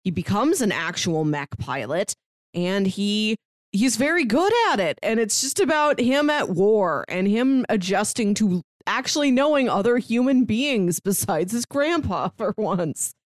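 A woman's voice: mid-range voice, lively intonation, a little slow, no echo, studio quality. A woman co-hosting a podcast, talking casually through a review and sometimes laughing lightly as she speaks. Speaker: podcast co-host. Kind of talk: casual conversational book review.

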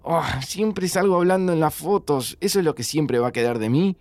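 A man's voice: a little high, expressive, normal speed, darkish tone, no echo, natural voice. An actor playing a wry, sentimental young man with an Argentine accent, a devoted friend in an audio drama. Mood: mock-solemn, sentimental. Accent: Argentine Rioplatense. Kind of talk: naturalistic audio fiction acting.

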